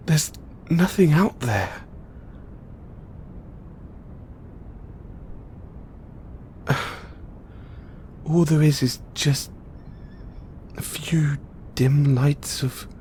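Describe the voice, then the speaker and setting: A man playing an excitable, anxious and tender mad scientist boyfriend in an intimate audio roleplay, speaking with halting stammers. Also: a little high, a little hiss or hum. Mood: awed, hushed. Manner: halting, stammering, breathy hesitations.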